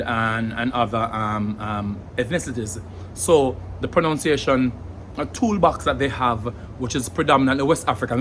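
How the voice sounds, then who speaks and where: A young man with a Jamaican accent explains things casually to camera in a mid-range voice, often pausing on fillers and repeating words as he thinks. A faint hum of background ambience sits under his speech. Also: mid-range voice, a bit bright. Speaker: language vlogger.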